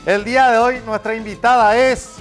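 A man, hosting a quick-fire studio interview with teasing banter, on a lapel mic in a dark studio. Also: extremely high pitch, steady tone, faint music behind. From Paraguay. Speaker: interview host. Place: dark studio set, seated.

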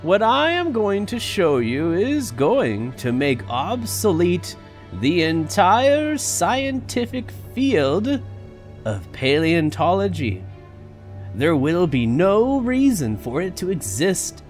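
A man voices a genial, grandfatherly park owner in a fan audio drama, presenting like a showman with a lively, swooping delivery. He takes his time over each phrase while music plays underneath. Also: high-pitched, slow pace, full character voice. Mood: proud.

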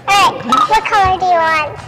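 A teenage girl with a high voice chatting casually and giggling with friends at a desk mic in a small office studio. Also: normal speed, very noisy. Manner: giggly, sings loudly and playfully. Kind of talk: casual chat among friends. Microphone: desk podcast mic with headphones.

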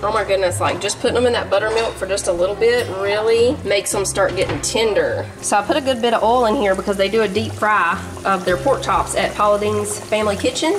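A woman's voice: medium pitch, brisk, few pauses. Folksy Southern woman over background music. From Southern United States. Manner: folksy, chatty. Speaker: home cooking vlogger. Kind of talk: casual vlog narration. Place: home kitchen.

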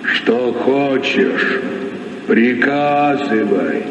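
Huge solemn genie chanting super slow, echoey old radio play, noisy and muffled. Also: male voice, medium pitch, animated, distant mic. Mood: solemn, obedient. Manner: slow, chanting, ceremonious delivery. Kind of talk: vintage Soviet radio play acting.

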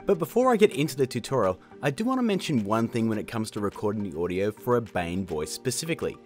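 A man hosting a casual software tutorial in a chatty, jokey way. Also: fairly low pitch, big pitch swings, fast talker, faint music behind. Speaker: tutorial host. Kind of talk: casual screen-recorded tutorial narration.

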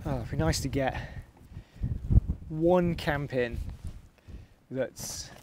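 A man's voice: fairly high pitch, drawn-out words. A man, chatting casually to camera while camping outdoors. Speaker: wild camping vlogger. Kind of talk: casual outdoor vlog chat. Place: outdoors on exposed moorland, in and around tent. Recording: windy outdoor recording, loud wind noise.

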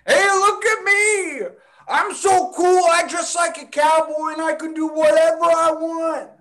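A man's voice: very high voice, fairly even intonation, a little slow. A man playing a rowdy, drunk young college guy who mocks and jeers, in a table read over a video call. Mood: loud jeering. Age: young adult. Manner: loud, mocking, jeering. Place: indoor room, video call. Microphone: video call, computer mic.

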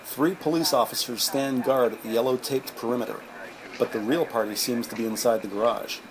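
A man narrating a detective audio drama in measured, descriptive present-tense storytelling. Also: medium pitch, fairly even intonation, background noise. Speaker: podcast narrator. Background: music.

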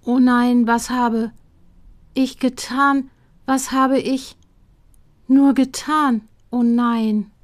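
A woman's voice: a little high, fairly even intonation, drawn-out words, long pauses. A woman giving a performed reading of short poems.

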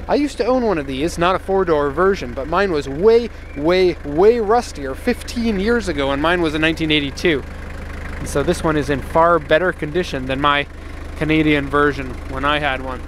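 A man in his thirties giving an upbeat, casual walkaround review of a truck outdoors in a park, recorded on a handheld camera mic. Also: fairly high pitch. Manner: upbeat and enthusiastic, with laughs. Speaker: car exporter reviewing a vehicle. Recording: outdoor noise behind voice.

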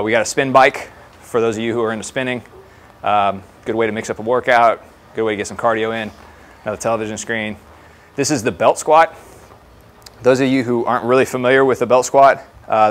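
A man in his thirties talking casually and explaining things as a trainer showing colleagues around a facility. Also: fairly low pitch. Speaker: fire department fitness trainer giving a facility tour. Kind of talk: informal walk-through presentation to colleagues. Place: indoor gym with hard floors. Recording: clear background noise of a gym.

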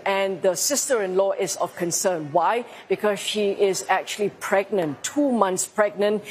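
A woman in her forties, a field reporter, speaking live from a hospital lobby in a measured, articulate news style. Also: mid-range voice, a little mellow, slight background noise. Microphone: lapel mic.

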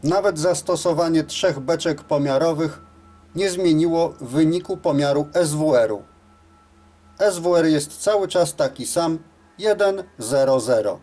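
A man's voice: fairly high pitch, measured pace, warm tone, faint music behind. A man giving scripted, methodical and matter-of-fact instructional narration as the presenter of a technical tutorial.

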